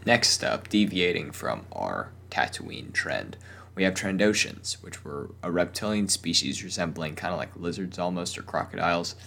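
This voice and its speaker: A young man talks casually in a very low, gravelly voice. His voice sounds weak and strained from a lingering cough. He hesitates often and restarts his sentences. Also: animated, normal speed, pushed and bright, a little hiss or hum. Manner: hesitant, many fillers and restarts. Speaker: podcast host. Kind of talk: casual solo talk.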